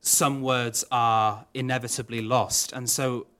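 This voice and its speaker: A man, an opera singer answering audience questions in a thoughtful, measured way with frequent fillers. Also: medium pitch, little pitch movement, fairly bright tone. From Wales.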